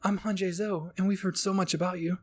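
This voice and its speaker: A man's voice: high voice, level tone, fairly quick, soft background music, voice pushed up. A man voicing an exuberant, affectionate woman officer in an anime fan-fiction story. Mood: gushing, excited.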